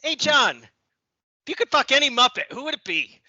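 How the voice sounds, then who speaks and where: Comic audio play: crude, giggly male slacker acted by a man, extremely high, sharp, lo-fi recording. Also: sing-song, moderate pace, husky, no room sound, full character voice. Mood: goofy, excited. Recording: dull lo-fi audio.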